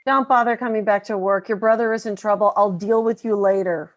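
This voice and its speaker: A woman playing a stern, sharp-tongued mother in a sitcom table read, scolding and blunt. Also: mid-range voice, steady tone, brisk, dull audio, natural voice. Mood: curt, angry.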